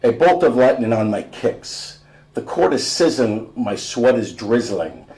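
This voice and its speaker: A man's voice: medium pitch, very animated, a little slow, a little mellow, low-quality recording. An American man voicing a cocky teenage boy basketball player in punchy, rhythmic verse from a storybook. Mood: boastful. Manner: rhythmic, punchy rhyming delivery.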